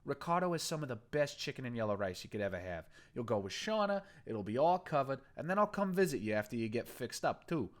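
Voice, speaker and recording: A man's voice: medium pitch, big pitch swings, fairly quick, hardly any pauses, voice pushed up, close to an everyday voice. A man reading a story aloud, voicing a furious, profane male gang boss who threatens and then coaxes in a raised, cursing voice. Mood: coaxing. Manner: swearing, menacing, raised and shouting. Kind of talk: audiobook character voice.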